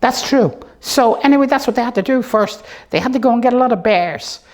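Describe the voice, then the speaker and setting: A woman's voice: fairly high pitch, brisk, a little mellow, lightly acted. A woman voicing a bossy, childlike know-it-all puppet who rambles through muddled stories in an improvised comic show. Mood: storytelling.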